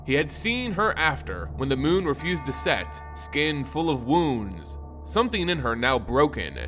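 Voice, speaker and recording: Animated male fantasy narrator over soft music. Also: on the high side, low-quality recording. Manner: theatrical, solemn, deliberate phrasing. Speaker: story narrator. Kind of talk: dramatic scripted fantasy narration.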